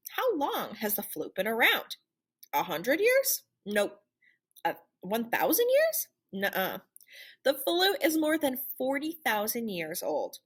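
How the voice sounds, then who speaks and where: Animated woman reading aloud, slightly husky. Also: a little high. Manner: animated, theatrical storytelling. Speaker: picture book reader. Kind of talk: expressive children's read-aloud narration.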